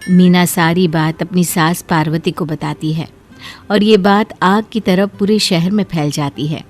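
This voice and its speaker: A woman narrating a moral cartoon story in a steady, clear storytelling manner. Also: fairly low pitch, unhurried, soft background music.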